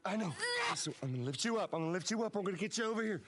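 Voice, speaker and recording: Desperate father, high anguished pleading. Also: animated, racing. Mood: desperate, urgent. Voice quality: strained, breaking voice. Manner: pleading, repeating short tender phrases. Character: desperate grieving father pleading with his dying daughter. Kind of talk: emotional video game drama acting. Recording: background music under the voice.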